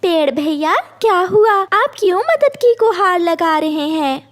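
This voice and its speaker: A woman voicing a kind, helpful little mother bird in a children's cartoon, polite and gentle. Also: extremely high pitch, expressive, unhurried. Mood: concerned. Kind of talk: Hindi children's cartoon voice acting.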